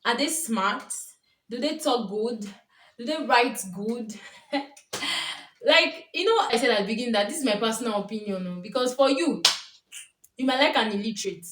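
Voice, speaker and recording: A woman's voice: on the high side, lively intonation, moderate pace, long pauses, a bit bright, a little hiss or hum. A young Nigerian woman with a Nigerian accent, a Yoruba speaker, telling stories and giving casual relationship advice to camera, laughing often. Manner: chatty storytelling, often laughing. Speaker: vlogger and coach. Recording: roomy, from a distance.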